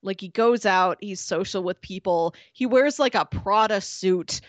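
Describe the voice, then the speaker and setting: A woman's voice: mid-range voice, a little slow, no room sound. A woman podcast co-host chatting casually and informally about TV, with a giggly manner. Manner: informal, giggly, peppers talk with "like". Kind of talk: casual chat about a TV show.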